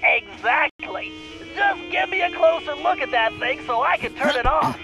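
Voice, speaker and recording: A man's voice: very high voice, expressive, moderate pace, vocal fry, exaggerated voice. A man playing a brainy, earnest cartoon turtle tech planner in a video game, with a precise, fussy manner. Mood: analytical. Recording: music behind the voice.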